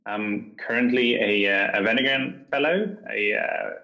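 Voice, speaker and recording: A man from Sweden, a guest answering interview questions in a measured way, pausing often with fillers. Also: on the low side, pushed and bright, dull audio. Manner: measured, with frequent pauses and fillers.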